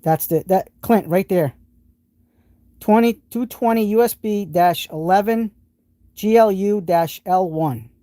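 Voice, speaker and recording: A man with a New England accent talks through his tools on a live stream, excited and rambling, often restarting his sentences. His voice is mellow and warm in tone, recorded close and dry, with little room sound around it. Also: sits high, measured pace, dry recording. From New England, United States. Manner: enthusiastic, rambling with frequent restarts. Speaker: host of a live tool stream. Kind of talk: casual live stream, showing tools and answering chat.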